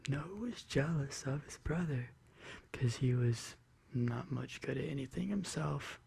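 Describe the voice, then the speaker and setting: Whispery, very dark-toned ASMR bedtime reader. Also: mid-range voice, husky. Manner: intimate, whispering and soft murmuring. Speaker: ASMR video creator and host. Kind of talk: soft-spoken ASMR bedtime story. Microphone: close studio condenser microphone.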